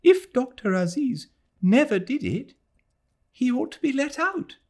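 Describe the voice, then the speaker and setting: A man voicing a distraught, remorseful young Englishwoman, high and pleading. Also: sing-song, a little slow, a little mellow, heavily acted. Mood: anguished pleading.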